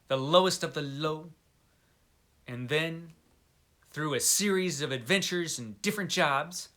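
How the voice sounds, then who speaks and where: A man with a slightly high, bright and forceful voice talks casually as a book vlogger. He is playful and tongue-in-cheek, leaning on his declarations with emphasis. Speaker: book vlogger, host of the channel. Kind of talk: casual chat to camera, answering book tag questions.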